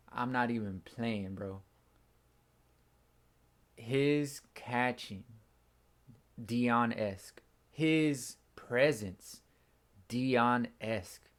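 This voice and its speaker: An excitable young man with a hoarse, worn-out voice from a day of screaming. He talks in loose, slangy bursts, his pitch sitting in an ordinary middle range. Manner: excitable, slangy, says bro a lot. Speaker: fan channel host. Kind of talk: casual post-game reaction.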